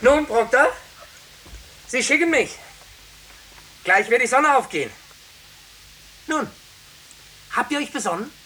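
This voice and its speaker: An actor playing an agitated, fervent official, exclamatory in 1950s German radio drama style. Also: male voice, very high-pitched, lively intonation, slow pace, pauses often, sharp tone, a little hiss or hum, exaggerated voice, rough recording. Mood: urgent.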